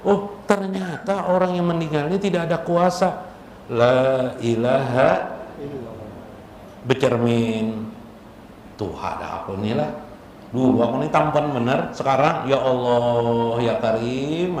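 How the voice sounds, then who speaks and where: A man's voice: a little high, unhurried, a little mellow. Banjar man preaching in an echoey room. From South Kalimantan, Indonesia. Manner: conversational, jocular, punctuated with rhetorical questions and tag words. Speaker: Islamic preacher. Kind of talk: informal sermon with jokes, mixing local speech and Arabic phrases. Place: indoor religious gathering. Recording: echoey room with faint background noise.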